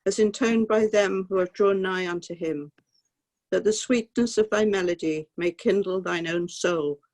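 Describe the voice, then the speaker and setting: A participant on a video call reciting a prayer in a slow, measured way. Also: medium pitch, low-quality recording.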